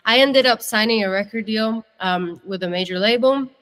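A woman's voice: a little high, moderate pace, a bit bright, big-room sound. Chatty young woman from Italy. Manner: chatty, candid storytelling. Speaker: guest singer-songwriter. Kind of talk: casual interview.

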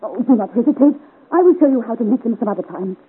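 A woman's voice: a little high, expressive, quick pace, slightly rough. An actress playing a frightened but resolute young woman in a melodramatic, urgent 1950s radio serial style. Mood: urgent, guarded. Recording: scratchy, muffled 1950s radio recording.

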